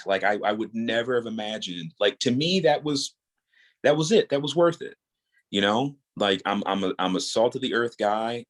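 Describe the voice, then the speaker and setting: A man in his thirties talking casually and earnestly as a guest in a podcast interview. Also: lowish voice. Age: 30s. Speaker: guest, audio drama creator.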